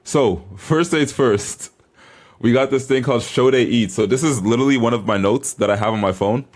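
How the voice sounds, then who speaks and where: A man's voice: mid-range voice, normal speed. A young man vlogging as a bodybuilder, chatting casually to camera in a hyped, informal, slangy way.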